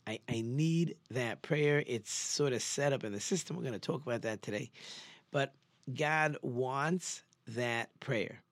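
A man's voice: mid-range voice, a little slow, no room sound. A man giving an informal religious lecture, conversational, with rhetorical questions and emphatic repetition. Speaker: religious teacher giving a Torah class.

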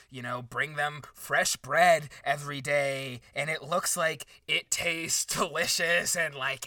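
A man's voice: mid-range voice, pushed and bright, no room sound, far from the mic, exaggerated voice. A man playing a dramatic, rambling, self-pitying podcast co-host and aspiring writer in an improvised comedy. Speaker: book review podcast co-host.

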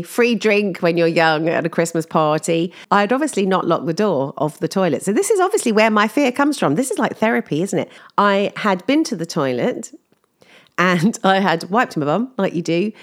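A woman chatting casually to listeners in a personal update, slightly croaky from lack of sleep, laughing as she tells embarrassing stories. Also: mid-range voice. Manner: chatty, self-deprecating, laughs while telling stories. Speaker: vlogger.

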